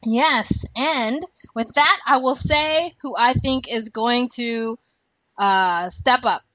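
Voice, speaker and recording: A woman's voice: on the high side, slow pace, sharp tone, dry recording. A woman hosting a podcast, chatting casually and colloquially with strong opinions. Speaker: podcast host. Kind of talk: casual TV discussion chat. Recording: dull, muffled lo-fi audio.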